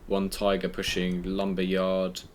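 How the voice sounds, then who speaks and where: A man's voice: deep voice, completely flat delivery, a little slow, very noisy. A man commentating a competitive game in a chatty, sweary way with dry jokes. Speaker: esports commentator. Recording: game gunfire and clicks behind. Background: gunfire and clicks from the game.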